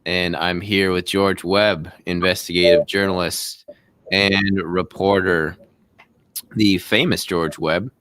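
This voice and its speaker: A man's voice: sits low, fairly even intonation, slow, fairly bright tone, no echo. A man hosting a show, opening casually and chatting with his guest, correcting himself as he goes. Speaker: show host.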